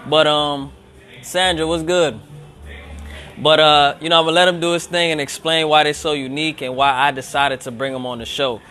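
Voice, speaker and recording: A man's voice: fairly high pitch, steady tone, moderate pace, sharp tone, soft background music. Easygoing man hosting a live interview. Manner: loose, conversational, lots of fillers. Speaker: show host. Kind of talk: casual live-streamed interview. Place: upstairs lounge of a restaurant. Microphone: handheld phone, no tripod.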